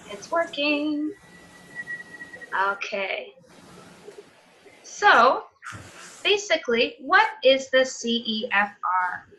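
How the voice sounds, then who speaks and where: Canadian woman running a zoom teacher seminar, highish bright voice, friendly, lots of ums. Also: sing-song, drawn-out words, pauses often, poor audio. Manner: friendly, explanatory, with filler sounds. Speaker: teacher trainer. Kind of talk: online seminar presentation. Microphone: video call.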